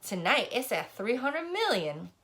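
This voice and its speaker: A brassy older lady played by an audiobook reader, easygoing and familiar with everyone. Her banter is sassy, in a bright voice with lively swings in pitch. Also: measured pace, exaggerated voice. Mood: chatty, sassy. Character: brassy, easygoing older woman, a regular store customer. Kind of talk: audiobook character voice.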